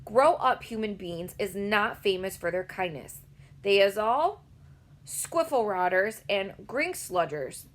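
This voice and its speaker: A woman reading aloud plays a huge friendly giant who talks slowly and heavily, his grammar all in a tangle. He sounds gentle but stubborn, a little louder and more deliberate than the narration around him, in a storybook reading. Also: mid-range voice, lively intonation. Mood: earnest, rueful. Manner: mangled grammar, slow and emphatic. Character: big gentle male giant, kindly and stubborn.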